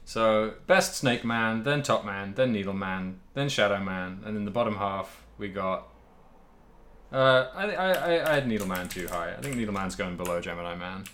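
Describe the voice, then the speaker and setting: Low-pitched man in casual chat. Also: expressive, moderate pace, a bit bright, a little hiss or hum. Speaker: podcast co-host. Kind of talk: casual comedic chat about a video game.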